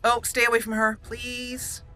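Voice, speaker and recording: A woman hosts a casual gaming playthrough in a bright, clear-edged voice with unhurried pacing. She thinks aloud and addresses the characters as she goes, with music behind her. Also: on the high side, completely flat delivery. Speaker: gaming channel host. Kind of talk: casual let's play commentary.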